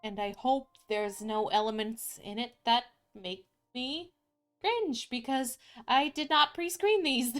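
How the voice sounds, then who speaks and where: A woman streamer reading fairy tales aloud, moving between casual, candid chat and expressive storybook narration. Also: on the high side, takes it slowly, smooth, faint music behind. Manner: expressive, informal, candid asides.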